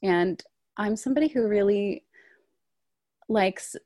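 A woman's voice: mid-range voice, slow pace, mellow, no room sound, studio quality. A woman in her thirties, a stage actor, talking through her career in a casual interview, thoughtful and full of "like" and "um.". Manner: thoughtful, frequent "like" and "um" fillers.

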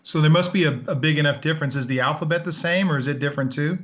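A man hosting a podcast, asking his guest questions in a friendly, halting way. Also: a little high, a bit bright. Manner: friendly, halting, many ums and restarts. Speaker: podcast host. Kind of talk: casual interview.

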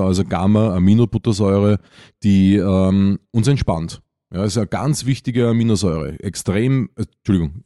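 Low-voiced, mellow Austrian man explaining. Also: dry recording, clean studio sound. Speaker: podcast co-host. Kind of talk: informal explanatory talk on a podcast.

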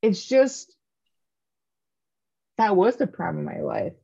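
A woman's voice: medium pitch, big pitch swings, slow pace, creaky, warm tone, husky, muffled recording. A woman in her thirties, a podcast guest talking candidly and joking about herself in casual chat. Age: 30s. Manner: candid, self-mocking, jokey.

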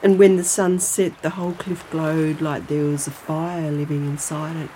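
Low-voiced older Australian grandmother, crickets behind. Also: flat delivery, a little slow, warm tone, slight background noise, rough recording. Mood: wistful storytelling. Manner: homely, colloquial. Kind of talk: naturalistic audio drama dialogue and storytelling.

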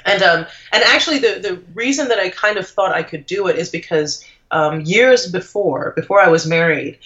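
Bright-voiced woman from Trinidad, chatty. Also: fairly low pitch, normal speed. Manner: chatty and reflective, thinks aloud. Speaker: interview guest, lawyer turned blogger. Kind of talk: casual conversational interview. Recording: dull lo-fi audio.

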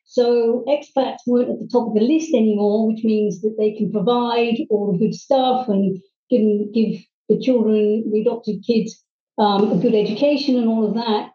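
A middle-aged woman from Hong Kong, telling her life story as a guest, earnestly and haltingly, with many ums and restarts. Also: fairly high pitch, steady tone. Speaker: guest, private English teacher. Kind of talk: personal storytelling in interview. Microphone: video call. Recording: distant, roomy, dull video-call audio.

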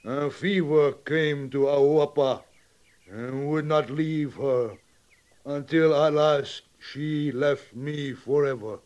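A very slow, solemn grieving chief. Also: male voice, fairly high pitch, fairly even intonation, a little mellow. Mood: grave, grieving. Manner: solemn, stately, halting simplified English. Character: grieving, dignified Sioux chief, solemn father. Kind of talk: 1950s western radio acting. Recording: muffled old radio drama recording.

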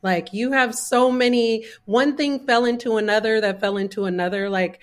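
A woman's voice: a little high, normal speed. Eager woman interviewer. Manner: eager, searching phrasing with many "like"s. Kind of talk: casual interview questions.

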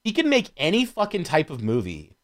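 A man talking casually as a podcast panelist. His pitch swings widely as he rambles through stories in loose, profane language, giving the chat an animated feel. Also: brisk, crowd noise. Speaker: podcast panelist discussing films.

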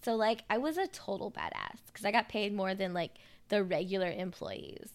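Raspy-voiced woman getting over a cold. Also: medium pitch, very animated, moderate pace. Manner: laughs often, joking. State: hoarse from a cold. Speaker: podcast co-host. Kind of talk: casual chat.

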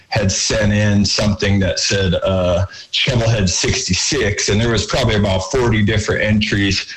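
A man who sings in a rock band, talking casually in an interview with a lower voice and lots of fillers. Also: poor audio. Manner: rambling, chatty, many fillers. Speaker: band singer.

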